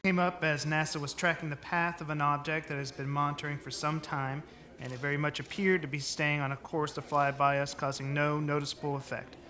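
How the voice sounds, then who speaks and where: A male news correspondent with a fairly high, sharp voice. His report is formal and factual, with very even intonation. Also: a little fast. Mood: urgent, factual. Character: matter-of-fact male national news correspondent.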